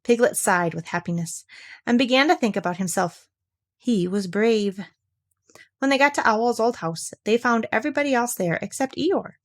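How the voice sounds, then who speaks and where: A woman's voice: on the high side, moderate pace. Woman narrating a children's storybook. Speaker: storybook reader.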